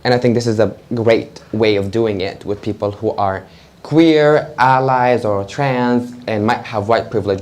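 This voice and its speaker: A young man from Georgia talks openly in English as a panel guest. His voice sits on the low side colors his speech. He is candid, energetic and fond of fillers. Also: slight background noise. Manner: animated, candid, frequent fillers and laughter. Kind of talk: live panel conversation. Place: event room with audience.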